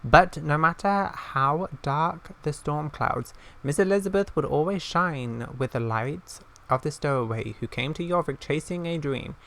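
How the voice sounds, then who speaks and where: Solemn, wistful fantasy elder voiced by a man, creaky and earnest, measured but halting game-dialogue read. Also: a little high, bright, forceful tone.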